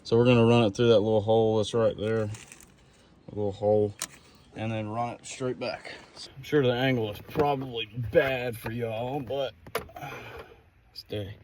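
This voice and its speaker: A man's voice: medium pitch, fairly even intonation, moderate pace, pauses often, a little mellow. A man chatting casually through a hands-on how-to vlog in a folksy, easygoing way, thinking aloud as he works. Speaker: vlogger doing a DIY car project. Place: outdoors at a vehicle, some wind. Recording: noisy outdoor recording with ambience.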